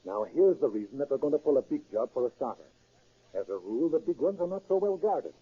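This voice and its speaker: An actor playing a bossy, confident safecracking gang leader in a 1930s radio drama. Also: male voice, on the high side, animated, quick pace, husky. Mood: commanding, cocksure. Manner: commanding, cocksure. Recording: muffled vintage 1930s radio recording.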